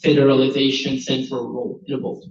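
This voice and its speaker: A man's voice: medium pitch, flat delivery, quick pace. Echoey, distant Frenchman answering panel questions. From France. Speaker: candidate on an election panel. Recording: dull, echoey room, distant mic.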